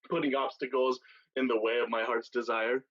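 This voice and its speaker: A man playing a wry, bargaining pirate suitor with a husky voice in a theatrical staged reading, on a dull recording. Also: mid-range voice, little pitch movement, normal speed, a bit bright, close to an everyday voice. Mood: wry, teasing. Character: wry pirate lord suitor, bargaining over his bride.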